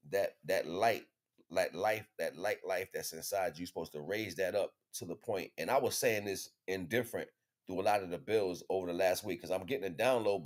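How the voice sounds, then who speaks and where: A man hosting an informal spiritual teaching livestream, talking in slang with emphatic, repeated phrases. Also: low-pitched. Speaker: livestream host.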